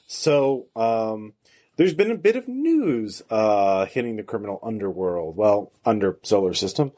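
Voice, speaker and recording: A man hosting a tabletop game as game master, narrating off the cuff in a halting, hesitant way. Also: on the low side, animated, dark tone.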